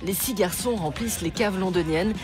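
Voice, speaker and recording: A woman's voice: mid-range voice, normal speed. A woman reading scripted documentary narration for a culture magazine, with a wry, playful touch. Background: music under the voice.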